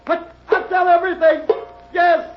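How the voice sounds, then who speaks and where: An actor playing a tormented murderer breaking down in anguished pleas, in 1940s radio drama style. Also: male voice, very high-pitched, little pitch movement, measured pace, reverberant. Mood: desperate breakdown. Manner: anguished, pleading, crying out. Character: tormented murderer breaking down under guilt, desperate man. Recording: muffled vintage radio recording, loud music.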